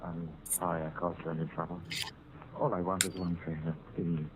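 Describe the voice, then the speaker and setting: An actor playing a desperate, obsessive jailed ventriloquist in an overwrought, pleading 1940s radio drama style, on a muffled, noisy old recording. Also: male voice, sits low, little pitch movement, moderate pace, close to an everyday voice. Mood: subdued begging. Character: desperate, obsessive jailed male ventriloquist pining for his dummy.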